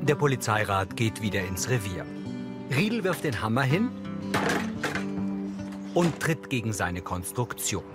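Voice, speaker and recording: Male narrator over loud music. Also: lowish voice, big pitch swings, unhurried, long pauses. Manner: neutral, factual. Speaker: audio description narrator. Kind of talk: scripted narration.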